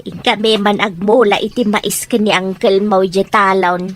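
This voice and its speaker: A woman's voice: medium pitch, steady tone, unhurried, natural voice. A woman acting an outspoken, teasing mother and wife with a Filipino accent in an Ilocano radio drama. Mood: everyday, chatty.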